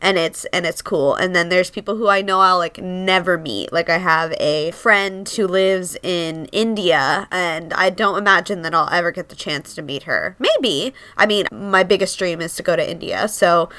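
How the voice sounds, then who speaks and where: A woman's voice: fairly low pitch, normal speed, few pauses, pushed and bright, no echo. A woman co-hosting a casual podcast, bubbly and chatty, sounding a little stuffy from a cold. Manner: bubbly, talks with lots of "like". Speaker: podcast co-host.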